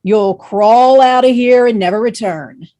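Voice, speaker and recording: A woman's voice: fairly high pitch, measured pace, mellow, lightly acted. A woman playing a brutal, menacing, foul-mouthed warehouse trainer in a screenplay table read. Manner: menacing drill-sergeant bluntness.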